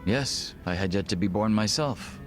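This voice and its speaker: A male actor plays a suave gangster second-in-command with a deep voice. He is guarded and polite, with formal, measured phrasing and a dry wit, in a video game drama with music behind him. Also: lightly acted. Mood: grave, measured. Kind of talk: English video game voice acting.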